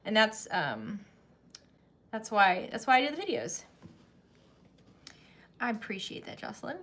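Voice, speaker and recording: A woman's voice: mid-range voice, very animated, measured pace, fairly bright tone. A woman in her thirties hosting a sewing channel, chatting casually with many restarts and frequent laughs. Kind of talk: casual livestream chat.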